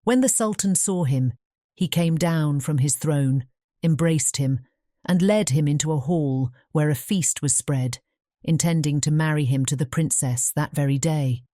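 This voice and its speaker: A woman narrating a scripted fairy tale as an audiobook, in a measured storytelling manner. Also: low-pitched, dark tone, no echo, clean studio sound.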